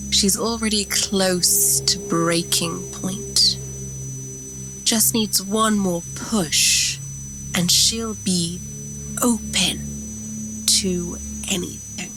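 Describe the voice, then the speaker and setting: A woman playing a dark lord's obedient, scheming servant in an audio drama, measured and deferential. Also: medium pitch, steady tone, music in the background, low-quality recording. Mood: deferential, sly.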